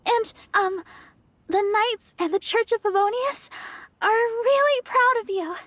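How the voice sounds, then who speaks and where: Very high, sweet young girl. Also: unhurried, no room sound. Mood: bashful, grateful. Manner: gentle, earnest, slightly bashful. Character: sweet, earnest young church idol girl, modest and helpful. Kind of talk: video game cutscene acting.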